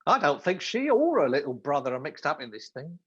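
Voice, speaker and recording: An actor in a live radio play, playing a cheeky, persistent young undercover detective with a breezy, theatrical manner. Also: male voice, fairly high pitch, big pitch swings, fairly quick, breathy edge, muffled recording. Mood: earnest.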